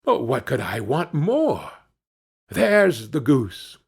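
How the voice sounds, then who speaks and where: Man playing a gleeful, naive country lad, very lively. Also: medium pitch, slow pace, gravelly, breathy edge, exaggerated voice. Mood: delighted. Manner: exclamatory, earnest, gleeful. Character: cheerful, naive young peasant lad, easily pleased. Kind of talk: fairy-tale storybook character reading.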